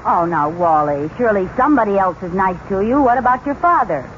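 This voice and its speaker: A woman's voice: medium pitch, lively intonation, fairly quick, slight background noise, natural voice. A woman playing a wry, kindly but exasperated married woman in old radio comedy, theatrical and wisecracking, on a muffled recording. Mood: flustered. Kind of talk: 1950s radio sitcom acting.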